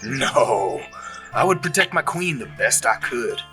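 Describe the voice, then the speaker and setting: A man's voice: mid-range voice, expressive, measured pace, bright, forceful tone, faint music behind. A man playing an affectionate, easily spooked boyfriend with a teasing, casual way of talking in an audio drama. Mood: playful, affectionate.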